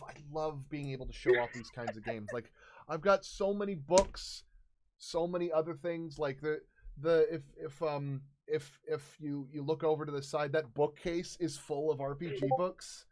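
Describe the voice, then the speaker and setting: A man in his thirties casually recounting tabletop game stories as a host, halting and searching for words. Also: on the high side, darkish tone. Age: 30s.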